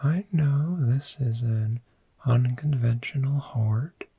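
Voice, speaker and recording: A man voicing a friendly, gentle dragon in a soft-spoken, soothing ASMR roleplay, with a breathy whisper and long pauses. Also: mid-range voice, no room sound, exaggerated voice. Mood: cozy, welcoming. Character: friendly, gentle cave dragon fond of sleep.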